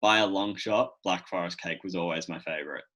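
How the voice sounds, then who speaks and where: Casting: Australian male guest, colloquial and relaxed in manner, deepish voice with a forward, bright edge. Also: brisk. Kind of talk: casual chat.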